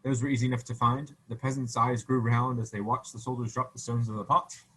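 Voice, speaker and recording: A man's voice: medium pitch, little pitch movement, a little fast, sharp tone, husky, low-quality recording. Man reading a storybook aloud. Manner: casual, stumbling, self-correcting. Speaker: reader.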